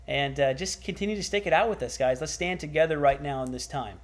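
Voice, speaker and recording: A man's voice: fairly high pitch, quick pace. A man hosting a livestreamed church broadcast, upbeat and enthusiastic as he interviews a guest. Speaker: church host.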